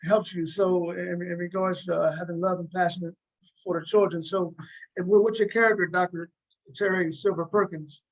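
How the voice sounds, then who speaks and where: A man with a somewhat high voice hosts a casual interview. He moves haltingly through his questions, repeating words and rewording as he goes. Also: little pitch movement, poor audio.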